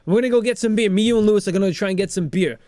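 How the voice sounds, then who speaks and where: Man playing high, eager, pushy teenage boy. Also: dark tone, dry recording, studio quality, close to an everyday voice. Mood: eager, urging. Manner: hurried, repetitive, urging. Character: eager pushy teenage boy, beer-seeking best friend.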